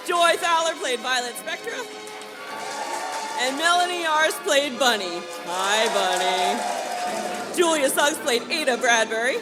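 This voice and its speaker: A woman's voice: sits high, poor audio. A woman narrating emphatically and mock-dramatically in the style of a 1940s radio serial announcer. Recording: live convention stage, loud music and crowd.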